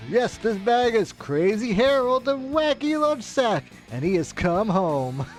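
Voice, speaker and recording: A grandiose game villain as put on by a male streamer, fairly high-voiced, stretching words for menace. The delivery is theatrical and melodramatic, with music behind it. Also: big pitch swings. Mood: mock grandeur. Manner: drawn-out, melodramatic. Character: grandiose, menacing male contest master reading his decree. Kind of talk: theatrical read-aloud of game ending text.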